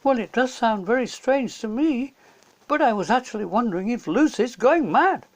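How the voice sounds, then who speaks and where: A sincere, doubtful schoolchild from a children's story, played by a man. The voice sits high and sounds polite and puzzled. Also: animated, moderate pace.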